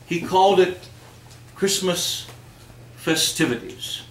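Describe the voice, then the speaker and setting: A man reading literary pieces aloud as a host, with measured, declamatory, deliberate phrasing. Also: high voice, level tone. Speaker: reader and host of a holiday readers theater. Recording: echoey room, distant mic, faint noise.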